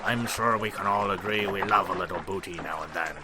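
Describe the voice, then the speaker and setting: A man's voice: sits low, brisk, heavy background noise, lightly acted. A man voicing a jolly, cheeky pirate announcer, speaking in hammy pirate talk. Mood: jovial. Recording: distant, with sea and boat ambience. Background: water and boat sounds.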